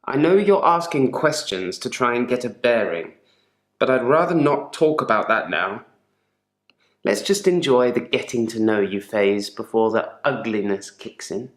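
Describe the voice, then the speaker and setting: A male actor plays a troubled gravedigger talking as a therapy patient in a video game. He is articulate and guarded, with a dry, sardonic wit. The voice sits at a medium pitch in a clearly echoey room. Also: lively intonation, measured pace, lightly acted. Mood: guarded, bitter. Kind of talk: naturalistic acted monologue in therapy session.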